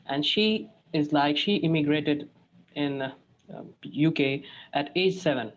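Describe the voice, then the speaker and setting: A man from India, hosting a casual podcast conversation, halting and full of restarts and "like" fillers. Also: a little high. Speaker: podcast host. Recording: dull lo-fi sound.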